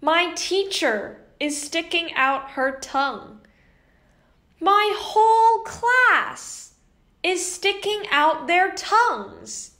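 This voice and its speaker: A young woman reading a children's picture book aloud as host, in an animated, carefully enunciated way. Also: extremely high pitch, extremely slow. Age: young adult. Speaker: host and children's story reader. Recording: echoey indoor room.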